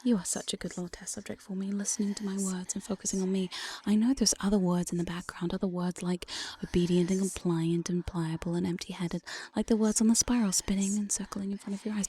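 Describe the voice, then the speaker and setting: A woman playing a teasing, domineering demon jailer in whispered ASMR roleplay, close on a binaural mic, slow, coaxing and mock-sweet. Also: medium pitch, steady tone, mellow, a little hiss or hum, lightly acted. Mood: coaxing, commanding. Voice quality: breathy whisper. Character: teasing, domineering demon mistress of a dungeon jail. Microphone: close binaural studio microphone.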